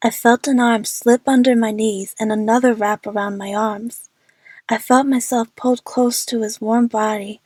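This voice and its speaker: A woman's voice: a little high, darkish tone, dry recording, natural voice. A woman playing an anxious, lovestruck young woman who narrates her adventure earnestly in an audio drama. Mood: tender, drowsy.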